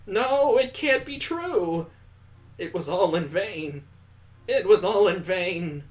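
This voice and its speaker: Man putting on a high, wailing student. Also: animated. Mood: despairing. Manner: wailing, drawn-out. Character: melodramatic student, crushed by disappointment.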